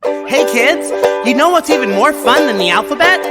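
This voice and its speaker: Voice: sits high, lively intonation, normal speed. A children's show performer with a perky, campy, emphatic delivery, leading a playful call-and-response alphabet song. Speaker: children's show singer and host. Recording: loud ukulele music behind voice.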